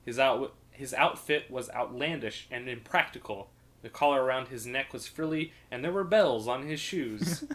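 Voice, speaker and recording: A man's voice: mid-range voice, normal speed, a bit bright. A man reading a fantasy story aloud as a podcast storyteller.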